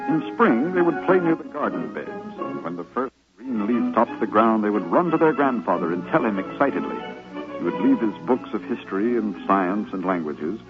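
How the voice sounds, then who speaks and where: An actor playing a devoted grandson narrating fond memories in a reverent, dramatic manner for a 1950s radio drama. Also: male voice, on the low side, big pitch swings, normal speed. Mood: fond, nostalgic. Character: devoted grown grandchild fondly remembering grandfather. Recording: muffled 1950s radio recording, music behind. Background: music.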